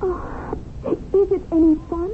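An actress in a radio drama playing an earnest, idealistic schoolteacher wife, sweet and gently pleading. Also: female voice, very high-pitched, flat delivery, slow. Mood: gently reproachful. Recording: tinny band-limited old radio broadcast, hissy.

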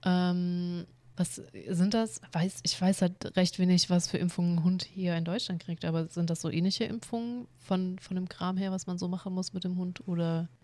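Woman, lower voice, easygoing chat, hedges a lot, dry close recording. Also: flat delivery, moderate pace, hardly any pauses, dark tone, dry recording. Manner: easygoing listener, musing aloud with hedges. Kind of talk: casual chat.

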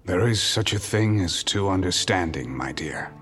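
An actor playing a secretive, courteous 1930s industrialist husband in a noir audio drama, speaking with measured formality. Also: male voice, sits low, expressive, over music. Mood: tender, evasive. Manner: measured, hushed formality, evasive. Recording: echoey, reverberant room, faint noise.

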